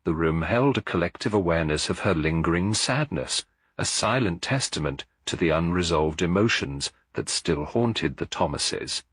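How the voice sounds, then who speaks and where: A man reading a scripted story as an audiobook narrator, in a measured, literary manner. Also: deep voice, lively intonation, slightly rough, dry recording, studio quality.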